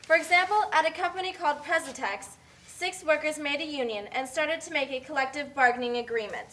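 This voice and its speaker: A woman's voice: sits high, fairly quick, a bit bright, rough recording. A woman voicing a blunt, matter-of-fact factory worker who explains things in an amateur puppet show. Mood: explanatory.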